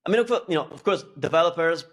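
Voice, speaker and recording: A man speaking in a relaxed, easygoing way as a guest in a casual interview, often restarting his sentences. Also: on the high side, rapid-fire. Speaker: guest, language school founder.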